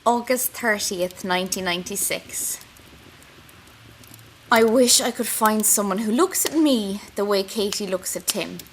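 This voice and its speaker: A woman's voice: mid-range voice, measured pace, a little hiss or hum, lightly acted, rough recording. A woman with an Irish accent playing an anxious, wry young intern confiding in her diary in an audio drama. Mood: wistful, wry. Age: young adult. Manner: intimate, wry, self-mocking.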